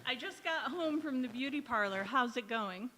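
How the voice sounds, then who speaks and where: A woman's voice: high voice, lively intonation, normal speed, pushed and bright, a little hiss or hum. A woman playing a supportive, wry housewife friend in old-time radio sitcom style, coaxing and bossy. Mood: prodding. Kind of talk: golden-age radio sitcom acting on stage. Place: large indoor hall, live stage performance. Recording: echoey hall, community theatre stage.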